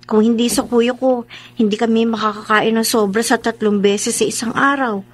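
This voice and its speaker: A woman's voice: on the high side, steady tone, moderate pace, lightly acted. A woman with a Filipino accent playing an emotive, wheedling young working-class daughter and sister in a radio drama. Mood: tender, pleading.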